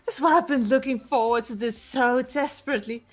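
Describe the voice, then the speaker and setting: High-pitched manic teen girl villain. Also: brisk, soft background music. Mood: ecstatic, gloating. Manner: theatrical, mocking, gushing. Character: manic teenage girl mastermind villain, gloating in despair. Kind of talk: fan voice acting of a video game script.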